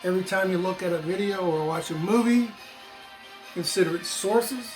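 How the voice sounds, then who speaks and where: Higher-pitched older American man, music behind. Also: normal speed, rough recording. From United States. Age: 60s. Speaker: video channel host, former teacher. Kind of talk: opinionated explanatory monologue to viewers.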